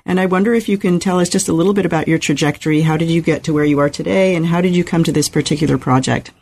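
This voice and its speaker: A woman hosting an interview podcast, reading a measured introduction and asking questions with some hesitation. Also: deep voice, creaky, a little mellow. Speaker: podcast host.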